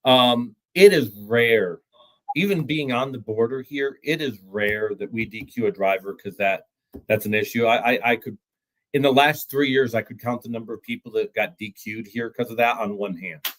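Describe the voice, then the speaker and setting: A man's voice: medium pitch, normal speed, fairly bright tone, poor audio. A man appearing as a guest on a talk show, explaining things in a matter-of-fact way with occasional stammered restarts. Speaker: trucking recruiting executive, guest. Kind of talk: podcast discussion.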